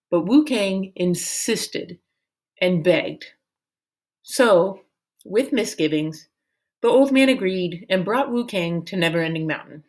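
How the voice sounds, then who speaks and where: A woman narrating a storybook as an expressive read-aloud. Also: mid-range voice. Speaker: storyteller reading a book aloud.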